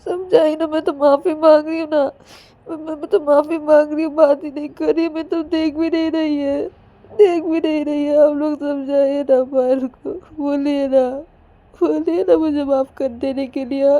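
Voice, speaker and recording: A woman's voice: high voice, level tone, normal speed, phone-quality sound, pitched above the performer's own voice, lightly acted. A woman voicing a distraught young character in an audio story, pleading childishly through tears with stammered, repeated whining phrases. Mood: tearful pleading. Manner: stammering, repetitive, whining pleas. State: crying. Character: distraught young person, pleading childishly beside unconscious friend.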